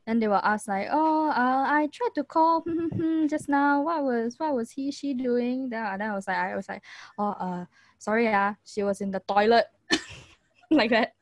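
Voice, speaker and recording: A young woman with a Singaporean accent, co-hosting a casual podcast, chatty and quick to laugh, dropping Singlish particles. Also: on the high side, no room sound. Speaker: podcast co-host. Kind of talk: casual chat.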